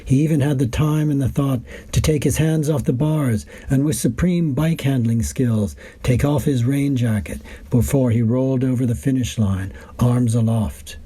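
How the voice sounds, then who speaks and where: Husky, mellow man reading a script. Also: medium pitch, moderate pace, hardly any pauses. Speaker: wine and travel writer reading his reports. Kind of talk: scripted narration.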